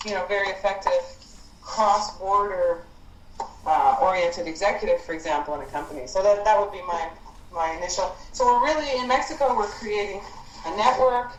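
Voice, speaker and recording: A woman speaks as a programme leader on a conference call, her voice carrying clear room echo over faint noise. She explains things informally and haltingly, with an encouraging manner. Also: mid-range voice.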